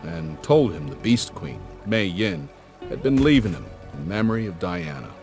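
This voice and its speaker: Low-voiced folksy storytelling adventurer. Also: male voice, sing-song, moderate pace. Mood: wistful. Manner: folksy, slangy, reflective storytelling. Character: folksy older male adventurer, warm storytelling uncle type. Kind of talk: cinematic game cutscene narration. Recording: music behind the voice.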